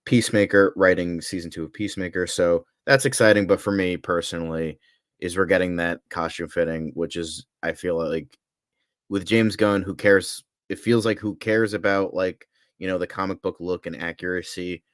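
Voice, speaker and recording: A male co-host with a deep voice talks in a casual, fannish style. He is enthusiastic and rambles, circling back to repeat his points. The sound is dry, with no room echo. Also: steady tone, moderate pace. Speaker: podcast co-host. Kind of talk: casual fan chat.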